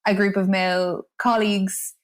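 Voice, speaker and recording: A woman's voice: medium pitch, flat delivery, normal speed. A woman presenting a podcast in a conversational style, reporting and commenting as a co-host.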